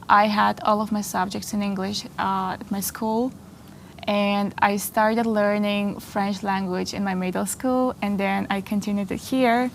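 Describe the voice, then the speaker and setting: A young woman in her twenties from Kazakhstan whose first language is Kazakh, answering interview questions in a measured, slightly formal way in a studio. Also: mid-range voice, vocal fry, slight background noise. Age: 20s. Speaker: interview guest, master's student. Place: small studio. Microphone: lapel.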